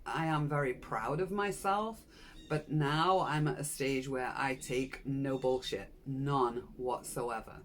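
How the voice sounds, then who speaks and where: A woman's voice: rumbling low, a little hiss or hum. A woman, frankly telling her own story to camera in a personal vlog and urging viewers on. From Britain. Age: 60s. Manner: frank, earnest, encouraging. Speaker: channel host.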